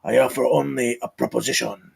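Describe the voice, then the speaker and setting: A man at a live table read playing a wary, formal adventurer with a gravelly, husky voice. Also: medium pitch, brisk, heavily acted. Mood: guarded, formal. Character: wary, formal male adventurer, urgent under threat.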